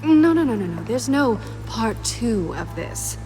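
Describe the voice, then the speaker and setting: An actress playing a sly, defiant, self-interested cat burglar with a sardonic manner in a dramatic video game. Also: female voice, a little high, sing-song, a little slow. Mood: wary, insistent. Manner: sardonic, sassy. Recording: loud music behind.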